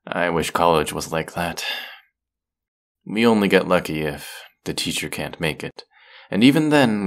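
A young man acting a tired, overworked, affectionate college boyfriend in an intimate roleplay audio, speaking softly and drowsily with hesitations and sighs. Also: sits low, creaky, heavily acted. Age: young adult.

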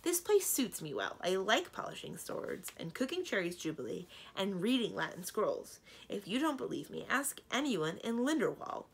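A woman voicing a strong-willed young storybook princess who speaks in a brisk, emphatic, lecturing way. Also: medium pitch, sing-song, creaky, slightly rough, pitched above the performer's own voice, full character voice. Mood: insistent. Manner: emphatic, lecturing, matter-of-fact. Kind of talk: storybook character dialogue.

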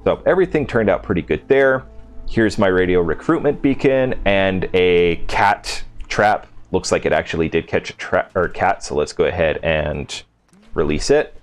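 Low-voiced man giving a casual game walkthrough. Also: normal speed. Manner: informal, unscripted, thinking aloud. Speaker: video game settlement-build host. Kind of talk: casual gameplay walkthrough commentary.

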